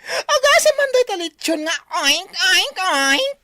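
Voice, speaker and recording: Casting: woman as flamboyant Ilocano beautician for radio comedy; bright, swooping, gossipy delivery. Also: very high-pitched, very animated, a little slow, no echo, studio quality, full character voice. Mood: excited gushing. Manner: exclamatory, gushing. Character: flamboyant, gossipy village beautician, excitable and fawning. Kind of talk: radio comedy drama acting.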